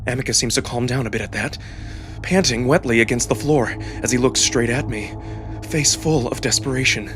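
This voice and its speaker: A man giving a suspenseful, expressive first-person narration of a dramatic story. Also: medium pitch, normal speed. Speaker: narrator and voice performer. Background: background music.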